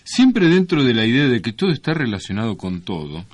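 A man's voice: medium pitch, very animated, brisk. An Argentine man co-hosting a radio show in casual, playful, ironic banter. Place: radio studio.

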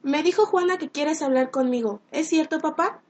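A woman's voice: sits high, moderate pace, lightly acted. A woman playing a sweet, earnest young daughter in a radio soap. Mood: resigned. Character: sweet young daughter, devoted to her suitor. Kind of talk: Spanish-language radio soap acting.

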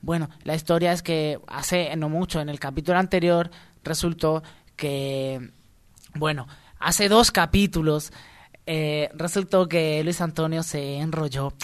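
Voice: medium pitch, steady tone, measured pace, no room sound. A radio co-host in casual comic chat, playful and mock-dramatic while explaining things.

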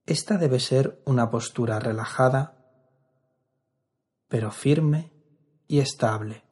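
A man leading a guided meditation as an instructor, calm and measured, with long pauses between phrases. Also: medium pitch, slightly rough.

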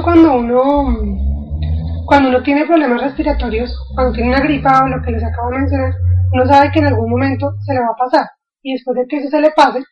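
A woman's voice: high voice, lo-fi sound. A woman explaining a topic in an informal video lecture, thinking aloud with fillers and restarts. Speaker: educator.

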